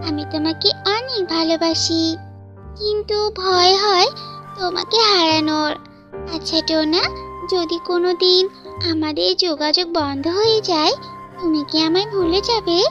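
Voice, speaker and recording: A woman's voice: extremely high pitch, unhurried, long pauses, dull audio, music in the background. A woman voicing an anxious, lovestruck young female bird student in a cartoon, pleading and earnest. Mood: tender, anxious. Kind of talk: cartoon fairytale dialogue.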